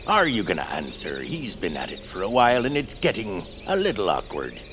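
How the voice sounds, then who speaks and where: An actor playing a needy, blunt, quirky feral hermit of the woods in an audio drama, theatrical and abrupt with a deadpan streak. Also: male voice, low voice, a little fast, slight background noise, full character voice. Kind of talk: audio fiction acting.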